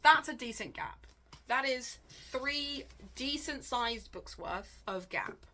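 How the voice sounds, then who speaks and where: A woman with a fairly high, very bright voice, talking in a casual vlog style. She thinks out loud, questioning herself as she goes, with a wry and animated delivery. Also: slow. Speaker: book vlogger, host.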